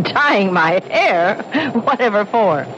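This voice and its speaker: Older housewife, wistful, expressive pitch, muffled radio play. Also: female voice, slow pace. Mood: wistful, evasive. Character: gray-haired older housewife, plain, wistful, evasive. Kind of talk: 1970s radio mystery acting. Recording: muffled vintage broadcast, background noise.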